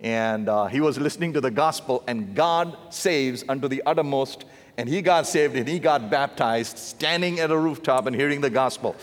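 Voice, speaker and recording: A man in his fifties with an Indian accent, preaching to a church congregation with animated asides. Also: medium pitch, moderate pace. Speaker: missionary preacher. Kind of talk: sermon. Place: large church sanctuary. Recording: long reverb of a big hall.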